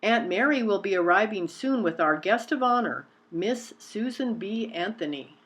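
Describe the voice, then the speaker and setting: A woman's voice: mid-range voice, lively intonation, normal speed, a bit bright, lightly acted. Storybook mother, acted by a woman, firm, busy, principled, matter-of-fact.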